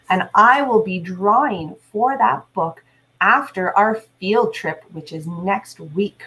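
A woman, a teacher reading aloud and talking deliberately to young listeners. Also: a little high, a bit bright, low-quality recording. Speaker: teacher and read-aloud host. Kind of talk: read-aloud and instructional talk to children. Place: small indoor room at home.

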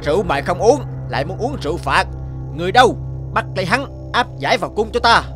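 A man's voice: sits high, sing-song, fast talker, gravelly, exaggerated voice. A man voicing a stern, officious royal messenger in a cartoon, commanding and threatening. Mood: menacing. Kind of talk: animated moral tale dubbing. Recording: music behind the dialogue.

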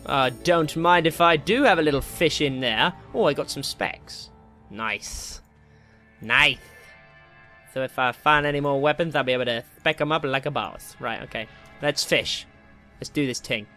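A man giving casual, excitable and jokey let's play commentary as he hosts a gaming video. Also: medium pitch, normal speed. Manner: excitable, jokey, mildly crude exclamations. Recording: game music behind the voice. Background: video game music.

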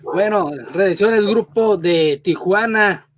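A man with a high voice asks short, friendly questions over a headset mic. The sound is close and dry, with no room around it. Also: slow, dry recording. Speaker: interviewer. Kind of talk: casual interview over video call. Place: home room indoors.

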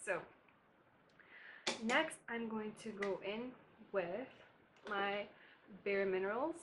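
A woman's voice: mid-range voice, takes it slowly, slight background noise, poor audio. A young woman from Barbados, chatting casually with laughing asides as she does her makeup in a bedroom. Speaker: beauty vlogger. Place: bedroom, indoors.

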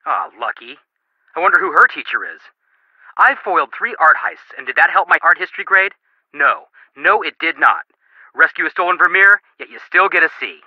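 A man's voice: on the high side, very animated, normal speed, dry recording, lo-fi sound. An actor playing a goofy, eager young male detective in a video game, with playful, wisecracking delivery. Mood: playful, wry. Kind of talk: video game character dialogue.

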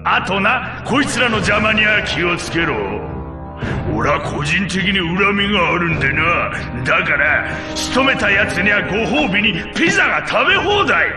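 Swaggering alien gang boss for a game, rough barked orders with animated pitch. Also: male voice, moderate pace, echoey room, recorded from a distance, over music. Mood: gruff bossiness. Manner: coarse, barking, swaggering. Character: rough, bossy male alien thug gang leader. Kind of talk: video game cutscene acting.

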